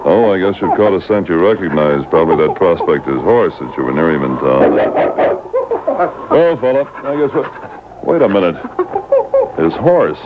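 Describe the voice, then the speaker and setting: An actor playing a steady, commanding Mountie sergeant, clipped and deliberate, in old-time radio drama style. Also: male voice. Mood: reasoning. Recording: tinny, noisy boombox radio recording.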